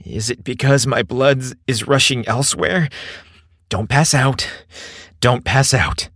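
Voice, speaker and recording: A man's voice: mid-range voice, slow pace, fairly bright tone, dry recording, studio quality. A man voicing an anxious, earnest, inexperienced young man in an audiobook romance. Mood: anxious, urgent. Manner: earnest, eager to reassure.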